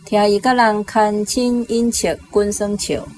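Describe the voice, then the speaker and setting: A woman with a Taiwanese accent reading translations deliberately as a language teacher. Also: mid-range voice, steady tone, a little mellow, faint music behind, low-quality recording.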